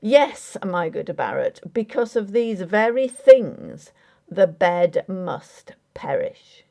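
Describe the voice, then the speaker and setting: A woman's voice: medium pitch, big pitch swings, slow pace, gravelly, dark tone, full character voice. Woman as a haughty, emphatic Victorian squire. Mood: grim resolve. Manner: formal, declamatory, emphatic.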